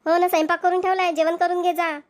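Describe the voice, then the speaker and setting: A woman's voice: extremely high pitch, flat delivery, quick pace. A woman with a Varhadi Marathi accent voicing a headstrong, argumentative middle-aged village wife in a cartoon comedy. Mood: defiant. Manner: argumentative, colloquial. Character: headstrong, talkative village wife and mother, farm labourer.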